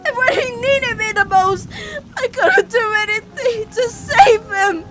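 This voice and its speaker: A woman's voice: very high-pitched, measured pace, over music. A woman voicing a guilt-ridden fourteen-year-old girl in an emotional cartoon fan dub, blaming herself with dramatic intensity. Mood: anguished outburst. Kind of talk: animated-series fan dub drama acting.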